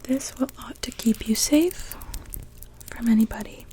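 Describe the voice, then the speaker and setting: A young woman whispering gently and without hurry in an ASMR witch roleplay, speaking directly to the listener. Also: fairly high pitch, unhurried. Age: young adult. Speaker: ASMR performer.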